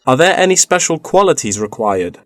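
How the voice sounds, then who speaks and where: A man's voice: mid-range voice, very animated, a little fast, a bit bright, no echo. A man playing a polite, inquiring university student looking for part-time work in a scripted language-test dialogue.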